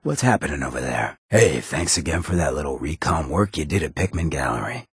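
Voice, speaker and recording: An actor playing a roguish, cynical charmer of a town mayor in a video game, with a raspy, gravelly voice and a cocky, slangy drawl. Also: male voice, extremely low pitch, animated, fairly quick, no echo, clean studio sound, exaggerated voice. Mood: sly, easygoing. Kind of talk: video game character dialogue.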